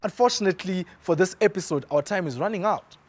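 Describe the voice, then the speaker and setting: A man's voice: high-pitched, fast. A young man from Zimbabwe presenting a youth climate series in a playful, upbeat scripted way. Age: young adult.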